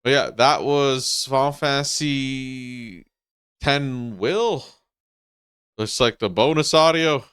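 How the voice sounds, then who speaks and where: A man's voice: medium pitch, takes it slowly, fairly bright tone, smooth, dry recording, clean studio sound. A man giving casual live-stream commentary as he plays a game. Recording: faint game music behind. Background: game music.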